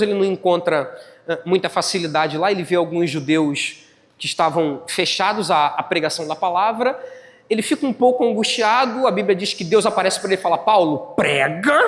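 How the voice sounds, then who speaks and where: A man with a Brazilian Portuguese accent preaching a church sermon as a pastor, teaching step by step, repeating phrases and putting check-in questions to his listeners. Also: high-pitched. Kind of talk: church sermon with Bible exposition. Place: church hall, live service. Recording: long hall reverb.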